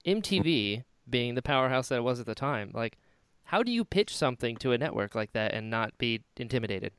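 A man's voice: medium pitch, big pitch swings, a little fast, fairly bright tone, clean tone, no echo. A man hosting a podcast, chatting casually and reacting in an enthusiastic, informal way. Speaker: podcast host, interviewer. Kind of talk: casual chat.

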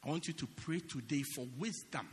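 A man preaching a dramatic sermon as pastor in a church, swinging from loud shouts to quiet asides. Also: fairly high pitch, expressive, brisk, long reverb tail, slight background noise.

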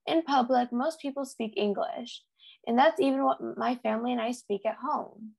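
Teenage American girl with a creaky voice. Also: fairly high pitch, normal speed. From United States. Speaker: youth podcast co-host. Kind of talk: scripted podcast narration.

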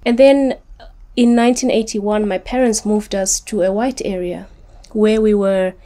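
Woman, late 30s, author guest, warm mellow tone, earnest and articulate. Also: medium pitch, fairly even intonation, unhurried. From Zimbabwe. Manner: articulate, earnest, often repeats words when restarting. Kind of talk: reflective interview answers.

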